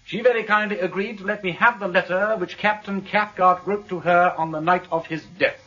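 An actor playing a gallant English gentleman detective with a crisp, courteous, formal upper-class accent in a British radio drama. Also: high-pitched, steady tone, a little fast, lo-fi sound, close to an everyday voice. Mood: formal, resolute.

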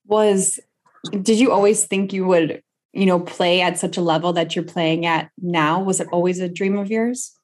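A woman's voice: on the low side, fairly even intonation, normal speed, a little mellow. A woman speaking as a podcast host, introducing and interviewing a guest. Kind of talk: conversational interview with a scripted introduction.

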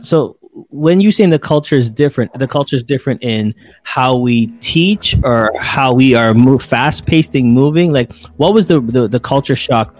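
Casual male podcast host, stumbling questions, mid voice, quiet music. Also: mid-range voice, muffled recording. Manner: casual, halting questions with fillers. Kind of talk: interview conversation.

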